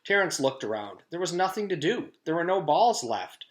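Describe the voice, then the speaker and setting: A man reading a children's chapter book aloud as a teacher does for students, in an animated storytelling style. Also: on the high side, moderate pace.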